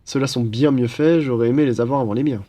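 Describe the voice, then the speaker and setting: A man's voice: mid-range voice, fast talker, mellow, lightly acted. A cheerful young student played by a man chats one-on-one with a new roommate in an audio roleplay. His voice sits in a medium register, and his manner is playful and teasing, with easy, friendly banter. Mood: friendly, joking. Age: young adult. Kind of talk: ASMR audio roleplay, casual one-sided chat.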